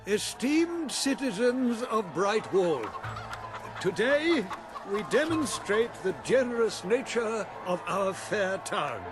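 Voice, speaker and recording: A man's voice: sits high, big pitch swings, takes it slowly, exaggerated voice. A man playing a pompous town orator in a fantasy game, giving a grand, declamatory public speech. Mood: grandiose. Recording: music behind the voice.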